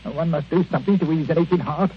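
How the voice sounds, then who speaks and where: An actor playing a bitter, self-pitying elderly husband in a querulous, theatrical 1940s radio drama style. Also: male voice, a little high, fairly even intonation, fast, close to an everyday voice. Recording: muffled, noisy vintage radio recording.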